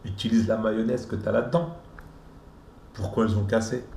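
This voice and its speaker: A man's voice: fairly low pitch, fairly even intonation, moderate pace, vocal fry, a little mellow, echoey room, slight background noise. A Flemish man whose first language is Dutch, teasing and scolding in a mocking voice-over commentary. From Belgium.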